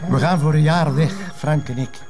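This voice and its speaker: An actor playing an apologetic police colleague, speaking hesitantly and plainly with a Flemish accent in naturalistic television drama dialogue. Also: male voice, fairly high pitch, steady tone, moderate pace, a little mellow, soft background music, natural voice. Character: apologetic male police colleague leaving on travels.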